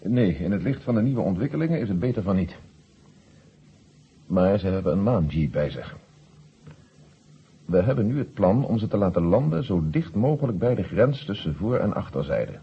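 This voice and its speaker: Low-voiced general, old radio drama. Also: male voice, big pitch swings, normal speed, long pauses, breathy edge, exaggerated voice. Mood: grave, composed. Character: calm, authoritative male general directing a moon mission. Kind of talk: 1970 Dutch radio drama acting. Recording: noisy, dull old radio recording.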